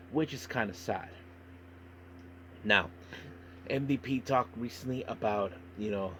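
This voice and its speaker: A low-voiced man hosts a casual solo podcast, reading through news and reviews. His delivery is halting, often tripping on words and names. Clear background noise runs through the recording. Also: poor audio. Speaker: wrestling podcast host.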